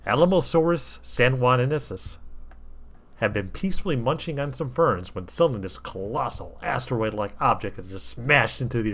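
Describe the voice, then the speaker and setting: A man reading comic fan fiction aloud as a storybook narrator, with wry, theatrical asides to the listener. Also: medium pitch.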